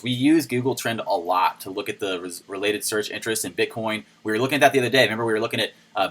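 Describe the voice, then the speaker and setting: A man with a low voice hosting a casual crypto news video, reading and commenting on articles in a chatty, playful way. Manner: chatty and playful, with sudden shouted jokes.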